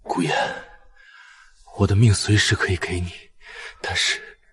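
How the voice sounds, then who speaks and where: A man acting an anguished, wounded young lover in an animated melodrama, with a husky voice. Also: low voice, measured pace, heavily acted. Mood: anguished, reproachful.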